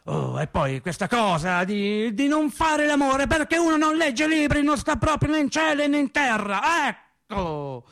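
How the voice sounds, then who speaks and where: A plainspoken factory worker and husband with a high-pitched voice. He tells his tale slowly in a colloquial radio play monologue, animated and comically exasperated, circling back over his words. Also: vocal fry, exaggerated voice. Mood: indignant. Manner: animated, hesitant repetitions, comic indignation.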